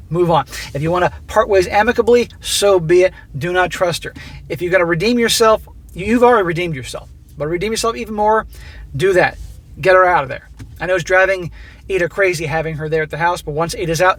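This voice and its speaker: A man hosting a channel who reads a listener's story aloud and comments on it in a blunt, profane, coaching way, his voice going hoarse. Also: high-pitched, a bit bright. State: losing his voice. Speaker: host reading listener stories.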